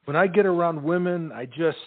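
An actor playing a bashful, slow-witted young soldier in love, halting and earnest, in a stage comedy. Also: male voice, on the high side, narrow, tinny audio, natural voice. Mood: flustered, bashful.

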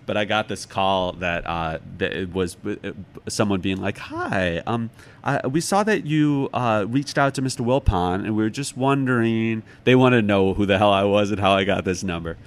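An American man from Chicago talking casually as an interview guest, halting and thoughtful as he tells anecdotes and gives advice. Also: fairly low pitch, clean tone. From Chicago, United States. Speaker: author and podcast guest.

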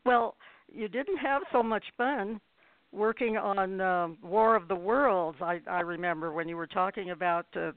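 A man's voice: high-pitched, unhurried, no echo. A radio host putting questions to guests in a hesitant, conversational way, often repeating words; a man's voice. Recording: thin phone-quality internet radio.

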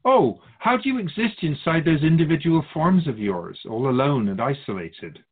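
Man playing a husky, lilting otherworldly sage spirit. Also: fairly high pitch, expressive, normal speed. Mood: curious, gentle. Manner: musing, formal, gently wry, philosophical. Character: Keeper of Souls Purpose, wise curious otherworldly being. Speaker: spirit guide being interviewed. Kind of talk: radio drama dialogue. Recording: muffled phone-like narrow band.

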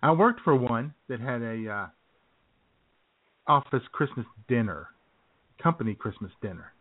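A man's voice: medium pitch, animated, measured pace, husky. Joking male radio host, thin phone-like sound. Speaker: comedy radio show host. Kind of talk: casual comic banter.

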